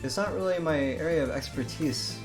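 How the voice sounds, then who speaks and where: A man's voice: a little high, a little fast, over music. A man live streaming in a casual way, chatting and reading a game aloud with a hesitant, stammering delivery that often repeats words.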